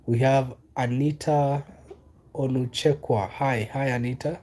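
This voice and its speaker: Young kenyan guy on a livestream, chill, lots of um and you know, mid pitch voice. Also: steady tone, warm tone. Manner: loose and unhurried, many fillers and repeated questions. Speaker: livestreamer reading chat. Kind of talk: casual live-stream chat.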